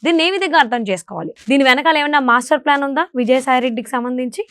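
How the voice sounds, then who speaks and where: Casting: female news interviewer, slightly high voice, close dry studio sound. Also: racing, fairly bright tone, no echo. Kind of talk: broadcast interview questioning.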